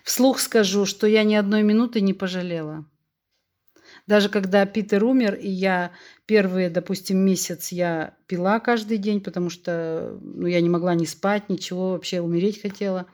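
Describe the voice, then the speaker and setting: A woman's voice: mid-range voice, normal speed. A woman around sixty from Russia, a podcast guest telling her story frankly and with animation, often acting out remembered dialogue. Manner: frank, animated, tells anecdotes with quoted dialogue. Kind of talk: candid conversational storytelling.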